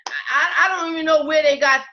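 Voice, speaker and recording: A woman's voice: high-pitched, steady tone, a little fast, muffled recording. A woman speaking frankly on a casual panel discussion, hesitant with many fillers.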